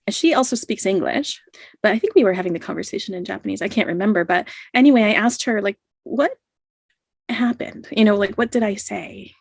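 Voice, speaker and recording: A woman's voice: mid-range voice, a little fast, vocal fry, slightly rough, dry recording. Chatty woman, dry close sound. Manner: laughs often, many "you know" and "like" fillers. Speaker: podcast guest. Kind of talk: casual storytelling in an interview.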